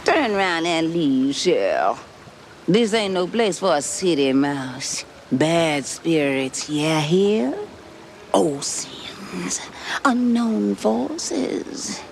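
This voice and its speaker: An actress playing a sly, superstitious swamp fortune-teller with a Louisiana Cajun accent, ominous and teasing, in a cartoon game. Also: female voice, on the low side, lively intonation, extremely slow, a little hiss or hum, exaggerated voice. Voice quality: croaky, gravelly.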